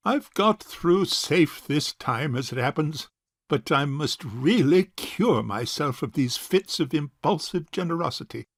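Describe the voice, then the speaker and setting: Pompous old uncle, creaky and high. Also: male voice, expressive, unhurried, dry recording, clean studio sound. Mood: smugly relieved. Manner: pompous, hesitant formal speech. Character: miserly, fussy rich old bachelor uncle. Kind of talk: comic stage farce acting.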